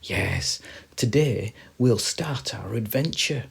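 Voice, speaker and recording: A man voicing a timid, excitable little guinea pig in a children's story, calling out in drawn-out words. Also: medium pitch, creaky, breathy edge, pitched above the performer's own voice, full character voice. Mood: excited.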